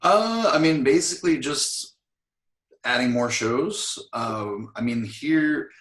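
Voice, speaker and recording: A man being interviewed, chatting casually and a little hoarse, filling his talk with "I mean" and restarts. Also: medium pitch, lively intonation, slow, fairly bright tone, roomy sound, soft background music. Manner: rambling, frequent "I mean" fillers. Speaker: interview guest, singer.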